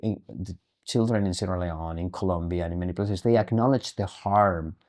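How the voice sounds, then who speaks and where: A middle-aged man speaking as a guest in an interview, measured and deliberate, often repeating words as he works through a thought. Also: deep voice, darkish tone. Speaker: podcast guest, human rights activist. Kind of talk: interview conversation.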